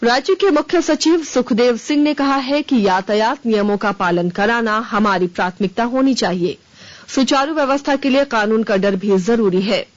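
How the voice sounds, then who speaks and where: A woman's voice: on the high side, moderate pace, dry recording. Woman reading radio news, dull dry sound. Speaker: news reader. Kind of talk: formal scripted radio news bulletin. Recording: dull, band-limited radio broadcast.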